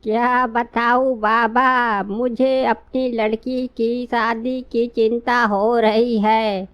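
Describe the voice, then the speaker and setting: A woman's voice: on the high side, level tone, drawn-out words, dry recording, lo-fi sound. A woman voicing a worried, trusting old village father in a cartoon, with a plaintive, deferential manner. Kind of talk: cartoon dialogue.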